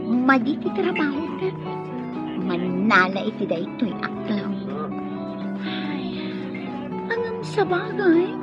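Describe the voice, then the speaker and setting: An actress playing a sociable, wistful young woman who works nights, in a radio drama. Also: on the high side. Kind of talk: Ilocano radio drama acting. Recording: muffled audio under loud background music.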